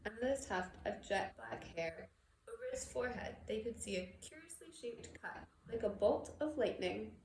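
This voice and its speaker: A woman reading a novel aloud as expressive scripted storybook narration. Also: on the high side, normal speed. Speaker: storybook reader. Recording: echoey room with background noise.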